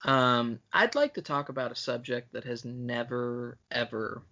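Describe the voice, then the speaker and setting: An opinionated man chats on a fan podcast in a bright, insistent voice at middle pitch. He swears freely and can turn mock-grandiose while ranting. The audio is a little dull and lo-fi. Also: unhurried. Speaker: podcast co-host. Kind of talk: casual film-fan banter and ranting.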